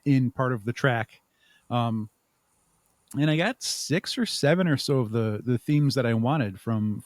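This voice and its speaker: A man's voice: mid-range voice, a little mellow, dry recording, studio quality. A man speaking casually as a podcast guest, explaining his creative work in a rambling, chuckling way. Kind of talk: casual interview chat.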